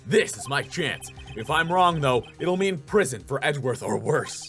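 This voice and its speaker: Man as young male attorney, courtroom game hero, determined, emphatic, excitable, swooping pitch, music behind. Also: moderate pace. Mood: resolute. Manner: emphatic, accusing, inner musing.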